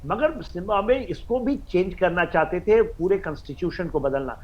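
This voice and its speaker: An Indian man giving expert commentary on a news panel, halting and repeating himself, mixing in English phrases. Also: sits high, lively intonation, muffled recording. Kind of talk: live news panel analysis.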